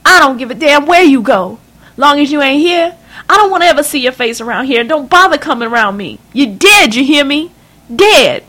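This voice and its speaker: A woman shouting as a furious cursing mother. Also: high voice, animated, brisk, pitched above the performer's own voice. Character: enraged cursing mother, middle-aged woman.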